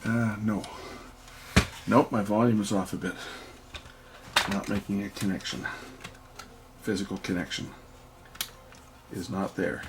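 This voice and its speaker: Low, gravelly man narrating a repair. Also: measured pace, long pauses, noisy background. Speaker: electronics repair video host. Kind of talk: casual hands-on repair narration.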